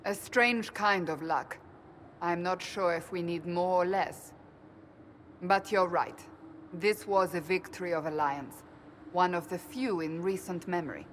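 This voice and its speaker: Actress as determined female war leader for fantasy game, lower register, composed delivery. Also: a little slow, slight background noise, lightly acted. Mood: measured, grave. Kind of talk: video game cutscene acting.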